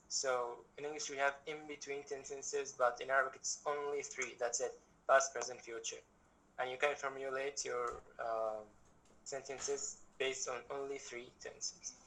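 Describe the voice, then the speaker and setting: A man's voice: mid-range voice, pushed and bright, rough recording. A young man from Egypt giving an online language lesson over a video call, explaining patiently with lots of examples and restarts. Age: young adult. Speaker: guest language teacher.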